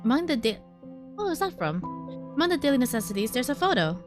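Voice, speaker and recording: A woman's voice: high-pitched, big pitch swings, fast. A woman game streamer giving casual live commentary, muttering her reactions as she plays. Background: game music.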